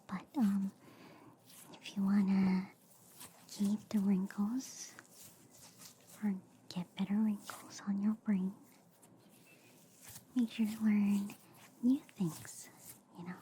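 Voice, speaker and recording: A woman speaks in a breathy, mellow whisper, very close to the mic. Her delivery is slow and soothing, with playful reassurance, as in an ASMR roleplay. Faint noise sits behind her voice. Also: medium pitch, steady tone. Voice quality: soft, breathy, mostly whispered. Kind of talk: soft ASMR roleplay as a friendly alien doctor. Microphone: close ASMR microphone.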